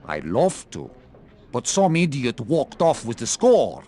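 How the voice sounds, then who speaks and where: A man's voice: mid-range voice, wildly swooping pitch, takes it slowly, warm tone. An actor playing a laid-back, wry male piano player in a video game cutscene.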